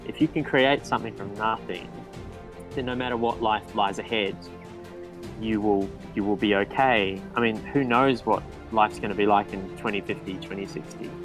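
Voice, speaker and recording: A man's voice: fairly low pitch, moderate pace, over music. A man, a guest in a casual interview, talking with frequent ums and restarts. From New Zealand. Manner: hesitant, restarts with many ums.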